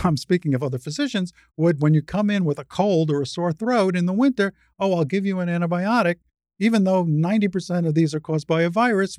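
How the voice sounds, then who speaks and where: A woman's voice: fairly low pitch, darkish tone, no room sound, clean studio sound. A woman in her sixties speaking as an interview guest, explaining things step by step in an emphatic, didactic conversational way. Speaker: interview guest, retired surgeon and advocate.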